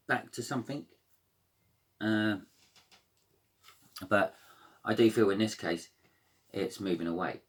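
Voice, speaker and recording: A man with a croaky voice giving a casual, rambling tarot reading, full of fillers and restarts. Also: fairly low pitch, a bit bright. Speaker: tarot reader.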